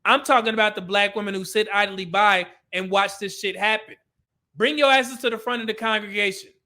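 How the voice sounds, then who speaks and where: A man's voice: sits high, fairly even intonation, a little fast, pushed and bright. A male commentary host ranting over clips, blunt and profane, with a preachy edge.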